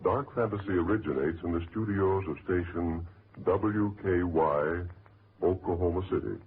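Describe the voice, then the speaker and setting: An American man announcing a 1940s radio show in a formal, measured, scripted style. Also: low voice. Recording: muffled, noisy 1940s radio recording.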